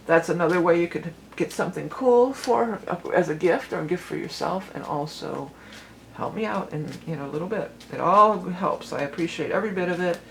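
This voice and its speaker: A woman with a lowered voice, doing a chatty, rambling tarot reading for her vlog, full of fillers and restarts. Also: fairly quick, creaky, slight background noise, rough recording. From Cleveland, Ohio. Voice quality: lower voice than she once had. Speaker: tarot reader and musician.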